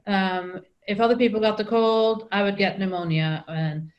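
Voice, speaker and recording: A woman's voice: medium pitch, steady tone, normal speed, highs cut off. A middle-aged woman from Norway with a Norwegian accent, telling her story as an interview guest, with frequent ums and restarts. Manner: hesitant, many ums and restarts.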